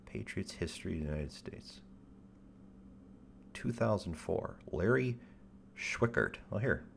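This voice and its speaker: A man hosting a livestream in casual chat, with a deep radio-style voice, rambling in stop-start phrases with a wry, self-deprecating tone and casual swearing. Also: expressive, a little slow, creaky, slight background noise. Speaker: livestream host.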